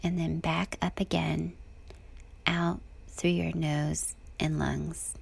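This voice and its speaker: A woman with a soft, breathy voice guides a body scan meditation in a calm, gentle, unhurried manner. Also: low-pitched.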